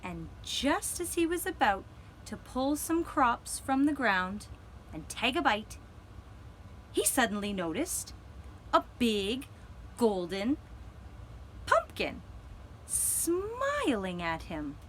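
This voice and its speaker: Very slow, high-voiced woman storyteller. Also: sing-song, a bit bright, slight background noise. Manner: deliberate, dramatic pauses. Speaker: storyteller, puppeteer. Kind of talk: gentle children's storytelling.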